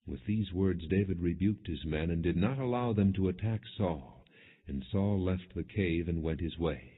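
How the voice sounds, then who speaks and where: A man narrating scripture from a script in a measured, solemn manner, with a husky voice. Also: sits low. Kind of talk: scripted narration, non-dramatized Bible reading. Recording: muffled, phone-like narrow band.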